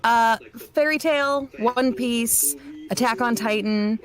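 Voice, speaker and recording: A woman's voice: fairly high pitch, slow. A woman voice actor and director talking casually in an interview, chatty and a little self-deprecating.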